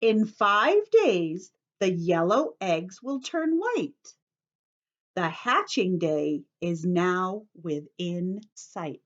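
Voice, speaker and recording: A woman's voice: lowish voice, big pitch swings, extremely slow. A woman teacher reading aloud to young children, explaining things simply and putting questions to them as she goes, in slightly dull lo-fi sound. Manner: instructive, addresses children with questions and simple repetition.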